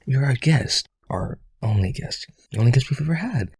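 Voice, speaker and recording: A man playing a lonely, rich vampire aristocrat in an audio roleplay, eager to please, hesitant and pleading, with a husky, creaky voice. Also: mid-range voice, lively intonation, normal speed, a little mellow, dry recording, full character voice. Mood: eager, coaxing.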